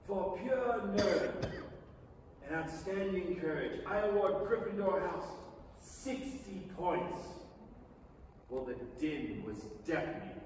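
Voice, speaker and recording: Male stage reader, higher-pitched and theatrical, emphatic storybook delivery, heard from afar in a reverberant theatre. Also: darkish tone. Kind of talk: dramatic staged reading of a children's novel. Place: large theatre stage, indoors. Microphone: far camera in the audience. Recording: echoey theatre hall, distant mic, noisy.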